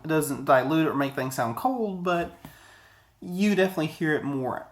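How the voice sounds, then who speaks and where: A man's voice: a little high, moderate pace. Casual man rambling through a review. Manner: rambling, conversational, digressive. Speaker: product reviewer. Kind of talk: casual video review to camera. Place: small indoor office, sitting at a desk.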